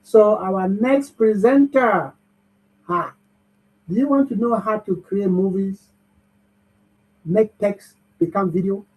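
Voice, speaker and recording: A man's voice: sits high, slow, long pauses, a little mellow. A man hosting an online bootcamp, upbeat and cheering the audience on over a video call. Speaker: bootcamp host. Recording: muffled video-call audio.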